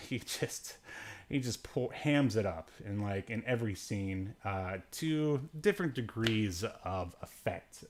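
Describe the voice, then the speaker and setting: A man's voice: lowish voice, darkish tone. A man hosting a podcast, casually reviewing a movie in a rambling, joking way with sarcastic asides. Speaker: podcast host.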